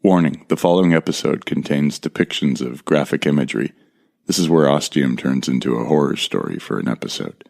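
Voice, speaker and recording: A man playing a wary, reflective traveler who narrates his own journey in measured, descriptive audio drama storytelling. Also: rumbling low, gravelly, a little mellow, exaggerated voice. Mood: ominous.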